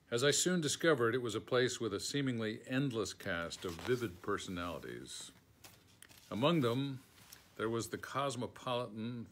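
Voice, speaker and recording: A man's voice: on the low side, a bit bright. An older American man from New York State reading a written memoir aloud as scripted narration. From Schenectady, New York, United States. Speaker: foreword author reading aloud. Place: book-lined room at home.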